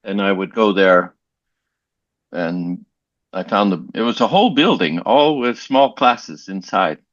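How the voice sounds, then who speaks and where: Unhurried man talking over a muffled call. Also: fairly low pitch, dry recording. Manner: relaxed, rambling, with frequent restarts. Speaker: interview guest, language learner. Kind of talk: casual conversation. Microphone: video call.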